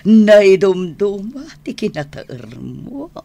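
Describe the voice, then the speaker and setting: An actress playing a flattering, coquettish older society lady in an Ilocano radio drama, theatrical and coy. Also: mid-range voice, slow, gravelly, exaggerated voice. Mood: coy admiration.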